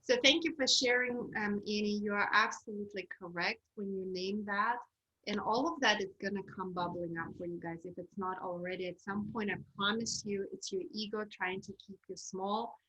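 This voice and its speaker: A woman's voice: mid-range voice, moderate pace, sharp tone, echoey room, highs cut off. A woman with a Russian accent coaching a group on a video call, candid and encouraging. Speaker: course coach.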